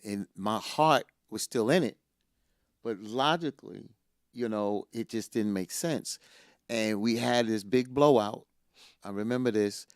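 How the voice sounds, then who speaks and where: A man's voice: lowish voice, clear, resonant tone, no room sound, clean studio sound. A middle-aged man co-hosting a casual chat, telling a personal story with energy and repeating lines for emphasis.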